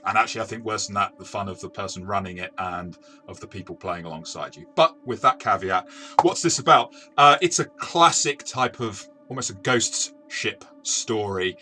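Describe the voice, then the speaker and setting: A man's voice: lowish voice, moderate pace, sharp tone, faint music behind. A man co-hosting a review, talking in a casual, discursive and explanatory way. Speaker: co-host and game reviewer.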